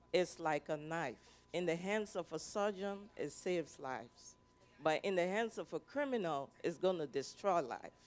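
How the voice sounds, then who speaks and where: A woman from Liberia, asking a panel an earnest, deliberate question at a forum. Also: on the low side. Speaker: audience member asking a question. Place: conference room.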